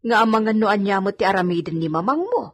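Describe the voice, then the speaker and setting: A woman's voice: medium pitch, expressive, quick pace, lightly acted. A woman playing an outspoken, protective maidservant in a radio drama, speaking with an Ilocano accent. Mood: concerned.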